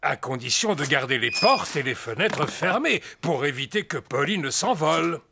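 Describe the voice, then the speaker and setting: A man's voice: on the high side, very animated, normal speed, gravelly, noisy background. A man voicing a kindly, careful elderly grandfather pig in a preschool cartoon, instructive and emphatic. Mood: kindly, cautioning.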